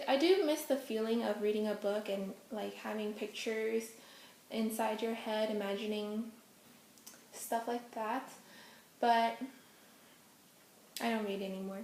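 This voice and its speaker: Young woman chatting at home, native hokkien speaker, lots of ums, kinda high voice. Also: a little mellow, roomy sound, slight background noise. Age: young adult. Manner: chatty, many ums, giggly asides. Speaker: vlogger. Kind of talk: casual Q&A vlog. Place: indoors at home, face out of frame.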